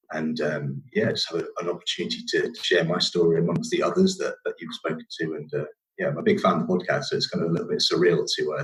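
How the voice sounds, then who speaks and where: A man's voice: low-pitched, rough recording. A young man from England with a northern English accent, a podcast guest telling his story casually, rambling with many fillers and restarts.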